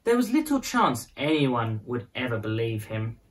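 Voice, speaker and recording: A woman narrates a children's storybook in a fairly low voice. Her intonation is very lively, rising and falling widely as she shapes prose and verse expressively for young listeners. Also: normal speed. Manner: expressive, dramatized phrasing for children. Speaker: storyteller reading a children's picture book.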